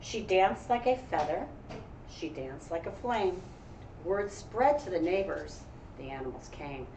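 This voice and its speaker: A woman's voice: fairly low pitch, expressive, a little slow, dark tone. An American woman teacher reading a picture book aloud, adding encouraging, reflective asides for young listeners. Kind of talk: read-aloud with casual discussion. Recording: roomy, distant mic, faint background noise.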